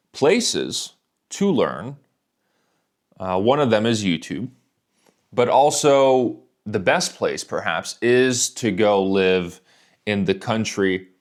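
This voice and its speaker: A male English teacher talks at a strikingly unhurried pace, spacing out each phrase for learners. His voice sits fairly low, and he often repeats words and phrases so listeners can catch them. The delivery is calm and patient throughout. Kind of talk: slow English listening lesson.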